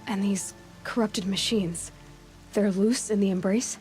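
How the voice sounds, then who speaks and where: A woman's voice: mid-range voice, steady tone, unhurried, a little mellow, lightly acted, rough recording. Woman acting a determined young huntress, music underneath. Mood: firm, questioning. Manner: direct, terse, resolute. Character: determined, blunt young female outcast huntress. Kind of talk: video game cutscene acting.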